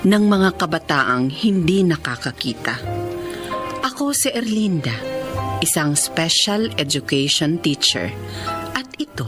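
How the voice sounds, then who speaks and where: Radio drama narrator: reflective, sincere Filipina teacher, deep for a woman, music behind. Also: expressive, measured pace. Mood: heartfelt. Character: Erlinda, sincere, reflective female special education teacher. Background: prominent music.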